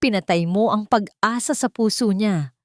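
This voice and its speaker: A woman voicing a wronged adult confronting a parent, bitter, deliberate and sarcastic, in an audio love story. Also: mid-range voice, darkish tone, no room sound, studio quality, voice pushed up, lightly acted. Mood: accusing. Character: wronged adult child, bitter, coldly accusing their mother.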